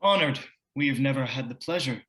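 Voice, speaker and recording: A man reading a polite, guarded male writer at a table read, measured in delivery. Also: medium pitch, a bit bright, lightly acted.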